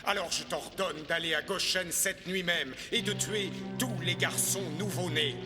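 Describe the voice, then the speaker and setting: A man voicing a tyrannical, proud Pharaoh in a French animated film dub, speaking in an imperious, commanding way. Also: sits high, a little slow, big-room sound, soft background music, exaggerated voice. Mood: menacing, commanding.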